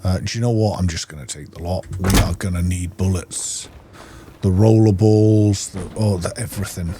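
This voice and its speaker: A man's voice: low voice, normal speed, background noise. A man doing casual gameplay commentary, muttering and thinking aloud to himself. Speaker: gaming video host.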